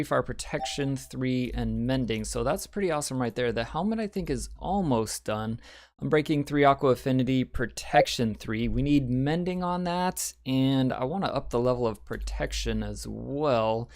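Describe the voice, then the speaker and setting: Slightly raspy, chatty gaming host man. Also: medium pitch. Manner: chatty and rambling, with frequent ums and uhs. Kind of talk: casual Minecraft let's-play commentary.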